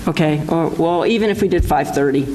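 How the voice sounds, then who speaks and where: A woman's voice: low voice, a little fast, mellow, a little hiss or hum, rough recording. A woman chairing a town board meeting, speaking hesitantly with many fillers. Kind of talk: public meeting discussion.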